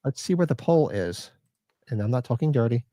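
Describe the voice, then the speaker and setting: A man co-hosting a live podcast, chatting loosely, joking and reading chat comments, a little hoarse. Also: medium pitch, expressive, brisk, dark tone, dry recording, studio quality. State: losing his voice. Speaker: podcast co-host. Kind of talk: casual live-stream chat.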